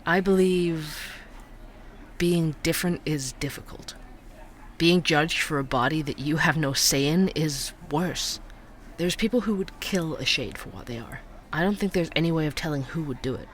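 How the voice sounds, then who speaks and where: A man playing an empathetic, thoughtful investigative podcast host, speaking in an earnest, measured way. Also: a little high, slight background noise, lightly acted. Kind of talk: fiction podcast interview and narration.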